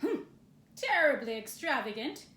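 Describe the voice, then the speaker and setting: A pompous, grumpy storybook bear, played by a woman, declaiming slowly and imperiously. The voice is bright and forceful, with a bellowing, huffy air, in a roomy space with echo. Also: high voice, sing-song, full character voice. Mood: haughty, demanding. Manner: imperious, bellowing, formal wording. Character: loud grumpy pickle-loving bear, male, huffy and pompous. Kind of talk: children's storybook character voice. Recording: roomy echo, faint background noise.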